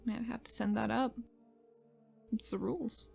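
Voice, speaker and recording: A woman improvises casually as a player in a tabletop roleplaying game, moving between character and table talk. Her voice sits fairly high, with faint music underneath. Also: fairly even intonation, a little slow, vocal fry, darkish tone, husky.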